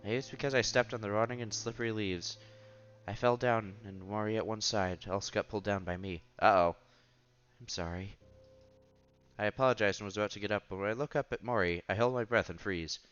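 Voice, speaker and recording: A man's voice: lowish voice, brisk, fairly bright tone, clean tone, lo-fi sound, soft background music. A man reading visual novel narration aloud as a streamer, expressively, with casual asides and exclamations.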